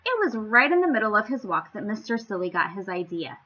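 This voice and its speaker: A woman reading a storybook aloud to young children, with theatrical, playful emphasis and an expressive storytelling style. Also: medium pitch, a little fast, dull audio. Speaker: children's story-time reader.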